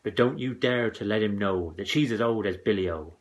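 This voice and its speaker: A man's voice: lowish voice, lo-fi sound. A man reading comic verse aloud to children as a dramatic, theatrical storyteller. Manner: dramatic, expressive, deliberate rhymed delivery.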